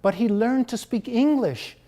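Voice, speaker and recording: A middle-aged American man, a children's book author, speaking in an interview in an unhurried, thoughtful way, with many fillers. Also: very high voice, expressive, dark tone. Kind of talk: interview conversation about his book.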